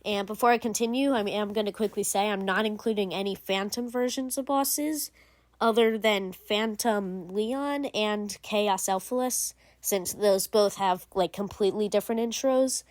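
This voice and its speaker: A woman's voice: mid-range voice, moderate pace, a little mellow, no room sound. A young woman hosting a gaming channel, giving casual, rambling game commentary full of fillers and restarts.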